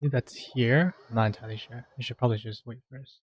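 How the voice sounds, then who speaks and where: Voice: medium pitch, fairly even intonation, fast talker, slightly rough, dull audio. A video game streamer giving casual live commentary in a hesitant, joking, self-deprecating way. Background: game music.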